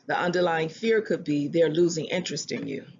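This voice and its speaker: A speaker giving earnest relationship advice in a self-help talk, often restarting phrases and slowing down to stress a point. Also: medium pitch, expressive, vocal fry, darkish tone, lo-fi sound, slight background noise. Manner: earnest, repeats and restarts phrases, slows for emphasis.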